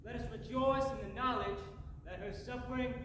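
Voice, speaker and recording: A woman's voice: on the high side, moderate pace, fairly bright tone, natural voice. A woman acting a solemn preacher praying at a funeral in a school stage play. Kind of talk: high school one-act stage play. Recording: echoey auditorium, far mic, background hiss.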